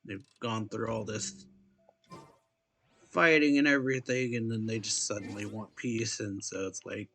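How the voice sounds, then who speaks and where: A man giving casual, laid-back gaming commentary as a reaction streamer, halting and trailing off. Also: fairly low pitch, steady tone, fairly bright tone.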